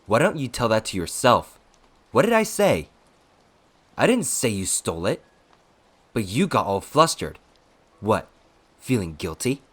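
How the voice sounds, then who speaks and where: A man's voice: fairly low pitch, big pitch swings, unhurried, pauses often, a bit bright, breathy edge, pitched above the performer's own voice, full character voice. A man voicing a blunt, hot-tempered male attendant who scolds and complains brashly in a storybook reading. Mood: angry, mocking.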